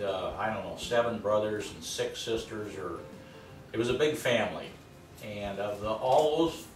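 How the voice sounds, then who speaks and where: An older man telling plainly, in a rambling way with many pauses, about his family's past. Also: fairly low pitch. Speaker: storyteller. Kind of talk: personal storytelling. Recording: echoey room, far from mic, music behind.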